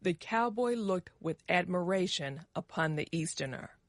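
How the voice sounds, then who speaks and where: A woman reads a story for language learners at a very slow, measured pace. Her voice is on the low side, each word carefully articulated, in a dry, close recording. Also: expressive, dry recording. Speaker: narrator. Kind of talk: scripted story narration for English learners.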